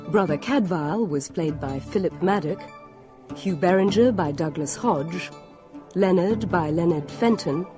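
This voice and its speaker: Woman radio announcer reading credits, lowish voice, music clearly behind her.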